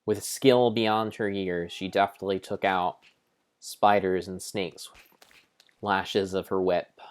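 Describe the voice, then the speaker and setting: A man giving an improvised dramatic narration of a game like an adventure storybook, with deliberate, drawn-out phrasing. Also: sits low, steady tone, smooth.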